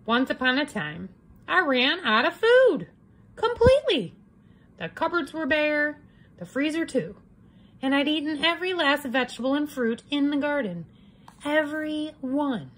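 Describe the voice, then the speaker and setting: Sly big bad wolf, performed by a woman, self-justifying and mock-innocent, animated, unhurried picture-book delivery. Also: high voice, voice pushed up, full character voice, poor audio. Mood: dramatic, hungry. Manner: theatrical, mock-innocent storytelling. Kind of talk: children's picture book read-aloud.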